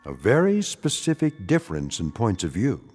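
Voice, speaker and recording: Sardonic narrator, hugely animated pitch. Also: male voice, normal speed, slightly rough, long reverb tail, faint music behind, exaggerated voice. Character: sardonic, knowing storyteller with grim irony. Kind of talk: dramatic scripted narration.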